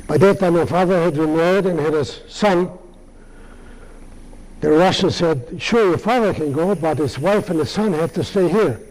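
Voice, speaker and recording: Older man, halting speech, mellow tone, fairly high voice. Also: poor audio. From Lithuania. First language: Yiddish. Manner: halting, many pauses and restarts. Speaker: Holocaust survivor speaker. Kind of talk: answering audience questions.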